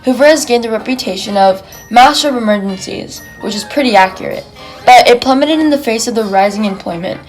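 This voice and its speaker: Highish young woman on old-time radio. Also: fairly quick, soft background music, low-quality recording. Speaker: radio presenter. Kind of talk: scripted 1920s radio broadcast with period slang.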